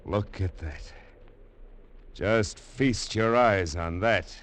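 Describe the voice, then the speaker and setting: An actor playing a ruthless, sardonic treasure-hunting villain in a 1940s radio drama. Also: male voice, fairly low pitch, drawn-out words, fairly bright tone. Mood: greedy. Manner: sardonic, calling people chum. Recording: noisy vintage 1940s radio recording.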